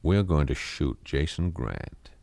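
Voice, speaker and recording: A man's voice: rumbling low, very animated, gravelly, a little mellow, no echo, exaggerated voice. An actor playing a cold, scheming man who plots a murder, in a 1970s radio drama. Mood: menacing. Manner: slow, deliberate, menacing.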